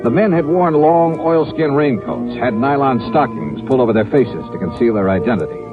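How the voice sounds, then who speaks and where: An actor playing a wry, suspicious hard-boiled investigator, narrating and questioning in 1950s radio detective style. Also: male voice, medium pitch, close to an everyday voice. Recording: phone-like old radio, loud music.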